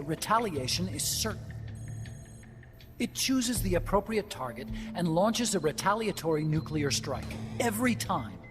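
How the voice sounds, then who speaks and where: A nervous young scientist. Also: male voice, high voice. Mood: explaining. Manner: quick, explanatory, turns subdued and hesitant. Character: young male scientist, nervous, guilt-ridden. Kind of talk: video game cutscene acting. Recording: music playing underneath.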